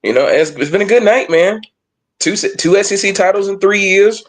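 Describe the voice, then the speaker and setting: A man from Georgia co-hosting a sports podcast, excited and upbeat. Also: high voice. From Georgia, USA. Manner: excited, upbeat, quick bursts of praise. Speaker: podcast co-host. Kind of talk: casual sports podcast chat.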